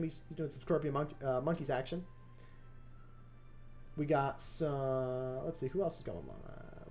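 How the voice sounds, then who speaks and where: Excitable young male game caster. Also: on the high side. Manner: excitable play-by-play, rising to near shouts at close finishes. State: voice going hoarse. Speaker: esports caster and streamer. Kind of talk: solo game commentary on a live stream.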